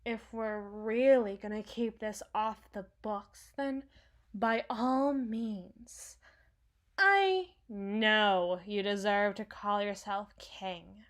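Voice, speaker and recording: A woman's voice: mid-range voice, very slow. A woman playing a brash, sarcastic, protective villain's henchwoman and field medic in an intimate, dramatic audio roleplay. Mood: taunting. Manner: sardonic, dramatic, swings from hushed muttering to outbursts.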